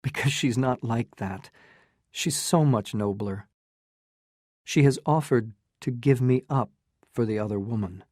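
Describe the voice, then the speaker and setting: A narrator voicing an earnest, torn young gentleman. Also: mid-range voice, measured pace, no room sound, studio quality, natural voice.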